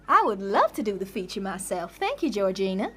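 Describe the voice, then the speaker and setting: A woman's voice: medium pitch, very animated, a little fast, sharp tone, husky, exaggerated voice. An actress playing a polite, puzzled, girlish female presenter in a scripted audio comedy. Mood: polite, chatty.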